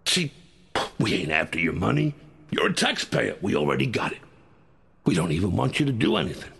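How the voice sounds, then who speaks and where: A man voicing a seedy American huckster bird with a gravelly husky rasp, cajoling and menacing in slangy hard-sell patter for an audio drama. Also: lowish voice, very animated, brisk, a bit bright, voice pushed up, full character voice. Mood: sly, coaxing.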